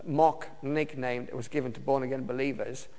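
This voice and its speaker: A man's voice: medium pitch, flat delivery, darkish tone. Man preaching in a reverberant hall. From Romania. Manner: halting, many fillers and restarts. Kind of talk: personal testimony sermon.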